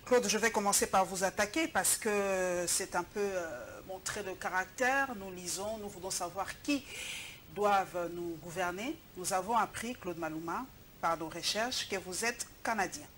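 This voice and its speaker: A woman's voice: medium pitch, moderate pace, fairly bright tone, slight background noise. Pointed woman TV host. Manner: pointed, confrontational questioning. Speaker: TV news show host. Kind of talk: TV studio interview and news presentation.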